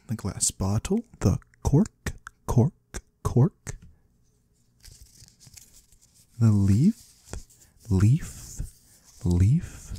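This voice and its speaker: A man's voice: fairly low pitch, dark tone, breathy edge, dry recording, exaggerated voice. A man playing a curious otherworldly trader in an ASMR roleplay, musing in quaintly formal words and savoring single ones close to the microphone. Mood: delighted, savoring. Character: curious otherworldly male trader of the shadowy void. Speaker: ASMR performer. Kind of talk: ASMR roleplay, soft slow close speech to the listener. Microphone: close microphone, dry.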